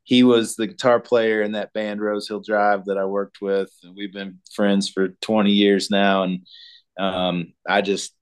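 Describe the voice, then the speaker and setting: A man from Colorado talks about his music as a guest, relaxed and unhurried. His speech often stalls on ums and restarts. His voice sits fairly low. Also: clear, resonant tone. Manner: laid-back, halting, many ums. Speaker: guest singer-songwriter. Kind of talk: casual interview chat.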